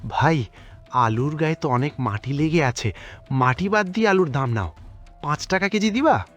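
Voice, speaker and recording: A man's voice: fairly high pitch, lively intonation, normal speed, fairly bright tone, soft background music. A man voicing a miserly, scheming fox husband in a comic cartoon, theatrical and wheedling, given to exclamations. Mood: haggling, indignant.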